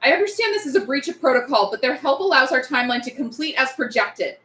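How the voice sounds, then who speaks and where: A woman's voice: sits high, lively intonation, quick pace, a bit bright. A woman playing a determined, desperate young army lieutenant in a drama script read, urgent and pleading. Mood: tense, formal. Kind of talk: script table read acting. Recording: roomy, echoey table read.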